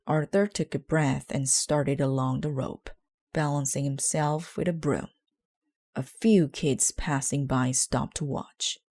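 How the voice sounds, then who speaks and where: A woman narrating a children's book aloud in a measured, clearly phrased way. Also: rumbling low, fairly even intonation, darkish tone. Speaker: storybook reader.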